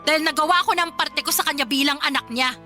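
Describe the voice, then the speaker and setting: A woman's voice: high-pitched, fast talker, sharp tone, faint music behind. A woman playing a worn-out, bitter and defiant daughter in an emotional radio drama, pleading with emphatic repetition. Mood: defiant, weary. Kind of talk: Filipino radio drama acting.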